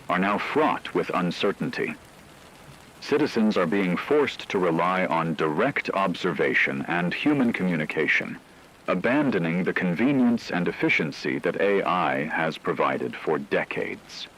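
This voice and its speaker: A man playing a grave radio news anchor in a scripted drama, speaking in a measured, formal way over a noisy background. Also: low voice, expressive, a bit bright. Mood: grave, urgent. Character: grave male radio news anchor reporting a global AI crisis.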